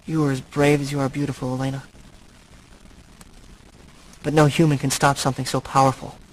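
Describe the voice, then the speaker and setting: An actor playing a brooding, tormented but noble centuries-old vampire in a video game, speaking in a grave, halting, earnest way. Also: male voice, medium pitch, flat delivery, natural voice, rough recording. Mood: earnest, explaining.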